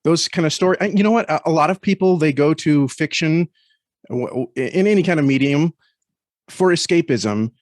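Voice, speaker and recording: A man's voice: on the high side, normal speed, dry recording, studio quality. A man talking casually as an interview guest, thoughtful and often searching for words. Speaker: podcast guest.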